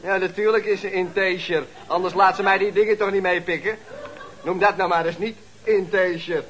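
A man's voice: high voice, fairly even intonation, normal speed, a bit bright, slight background noise. A man playing a cheeky, unbothered young nephew in an old radio comedy, slangy and mumbling his words. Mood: cocky. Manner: nonchalant, slangy, mumbled articulation. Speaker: comic actor in a radio comedy series. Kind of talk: 1970s Dutch radio comedy acting.

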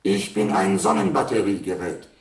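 An actor playing an obsolete household robot in a radio play, polite, formal and literal, a slow-witted servant. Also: male voice, deep voice, very animated, roomy sound. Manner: courteous, formal, matter-of-fact. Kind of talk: German radio play acting.

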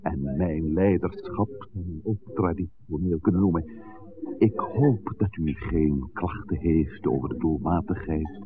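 A man playing a suave, scheming village pastor in a 1960s radio drama, speaking in formal, measured, courteous sentences. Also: low voice, a crowd in the background. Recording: muffled, noisy old radio recording.

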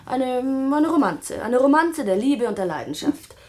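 An actress playing a spirited young paid companion in a radio play, deferential yet defiant, earnest and easily stung. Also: female voice, high voice, big pitch swings, unhurried, darkish tone. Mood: pert, defensive. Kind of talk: radio play acting in dialogue.

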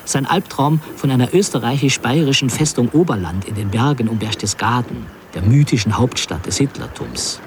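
Actor as sober guy chronicler reading radio drama narration, middle pitch, measured, noisy background. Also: close to an everyday voice. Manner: measured, literary, factual. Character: sober male chronicler recounting the end of the war. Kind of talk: scripted narration in a radio play.

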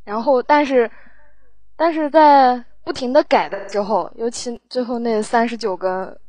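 A woman chatting casually in a halting, hesitant way as she recounts production work as a guest. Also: fairly high pitch, a little mellow, dry recording.

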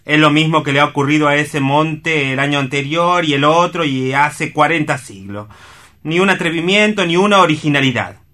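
A man's voice: on the high side, normal speed, bright, forceful tone. An actor playing a witty, cynical urban newspaperman in a radio play, declamatory and ironic. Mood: mock exasperation.

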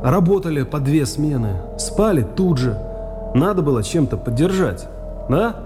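Weary accused in a reverberant hall. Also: male voice, on the high side, big pitch swings, unhurried. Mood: hesitant, explaining. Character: weary accused man, plain-spoken, haltingly explaining himself. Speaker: radio drama actor. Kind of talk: Russian radio play acting. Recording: long reverberant hall, music underneath.

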